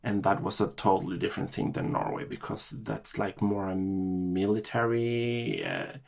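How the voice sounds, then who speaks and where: A middle-aged man from Chile, a podcast guest telling his life story in a halting way, with many restarts and fillers. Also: low-pitched, expressive, gravelly, a little mellow, soft background music. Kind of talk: personal storytelling interview.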